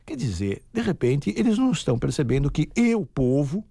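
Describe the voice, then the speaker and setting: A man's voice: a little high, sing-song, moderate pace, gravelly, mellow, breathy edge, no echo, clean studio sound. A man, a guest in a radio interview, arguing in an insistent, rhetorical way.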